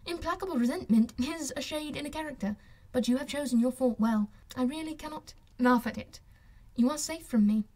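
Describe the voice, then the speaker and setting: A woman voicing a witty, self-assured young gentlewoman in an audiobook, with a playful, teasing and articulate manner. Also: sits high, fairly quick, voice pushed up, close to an everyday voice, rough recording. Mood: wry. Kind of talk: audiobook character voice.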